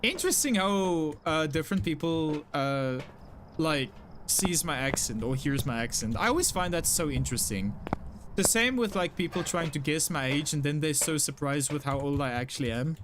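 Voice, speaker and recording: A man's voice: a little high, lively intonation, normal speed, background noise. A young man from South Africa with an Afrikaans English accent, chatting casually with his live-stream audience and repeating himself with lots of "like" fillers. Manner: chatty, apologetic, frequent self-repeats and "like" fillers. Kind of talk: casual live-stream chat reading chat messages.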